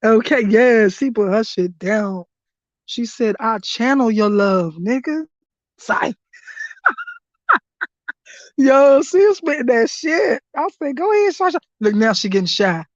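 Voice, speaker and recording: Hoarse, rowdy woman live-stream host. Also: a little high, expressive, a little slow, pauses often, dry recording. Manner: loud, laughing, profane hype commentary. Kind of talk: casual live-stream chat. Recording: dry, dull lo-fi stream audio.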